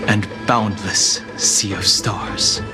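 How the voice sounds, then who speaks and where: Calm immortal dragon warrior for a game, lowish voice, slow n earnest, loud music under it. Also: male voice, rough recording. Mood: earnest promise. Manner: measured, earnest, formal. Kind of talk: dramatic video game voice acting.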